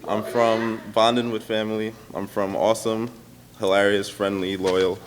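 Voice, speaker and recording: A young man from Queens, a student haltingly reciting a personal spoken word poem. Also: lowish voice, level tone. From Queens, New York. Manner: halting, breaking into laughter. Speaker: student performer. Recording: noisy room with audible crowd.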